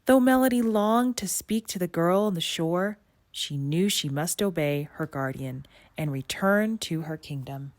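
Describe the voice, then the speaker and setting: Low-voiced, unhurried woman storybook reader. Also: dry recording. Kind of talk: expressive children's read-aloud narration.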